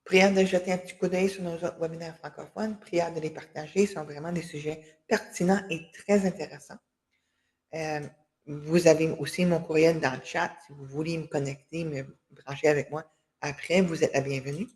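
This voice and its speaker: A woman's voice: sits low, fairly even intonation, moderate pace, darkish tone. Hesitant woman hosting a video webinar. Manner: hesitant, many fillers and restarts. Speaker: webinar host for a women's enterprise organization. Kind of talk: closing remarks of an online workshop. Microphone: video call.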